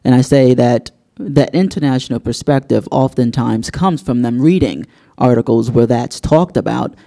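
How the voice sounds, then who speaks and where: A man who works as a journalist, speaking earnestly on a university panel and telling a story in a measured way. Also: medium pitch, gravelly, warm tone. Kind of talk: panel discussion. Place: university event hall.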